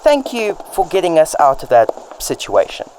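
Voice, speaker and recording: Man playing an earnest detective, very lively intonation. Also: on the high side, normal speed. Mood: earnest, awkward. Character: earnest, polite, slightly awkward male detective hero. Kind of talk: amateur radio drama acting. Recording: noisy amateur radio drama recording.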